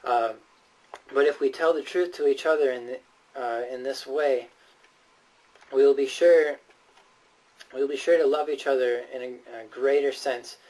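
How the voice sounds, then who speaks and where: A man's voice: medium pitch, fairly even intonation, normal speed, long pauses, darkish tone. A man delivering a persuasive, sermon-like speech in an earnest, emphatic and often hesitant way. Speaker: speaker giving a prepared speech. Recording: voice distant from the microphone.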